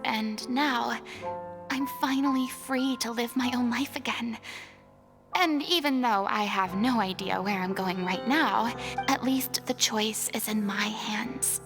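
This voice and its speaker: Guarded dramatic young stage star, anime game, high voice with fry, music under it. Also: female voice, slow. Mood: relieved, reflective. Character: Furina, guarded, dramatic young woman, former stage idol. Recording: music behind the voice.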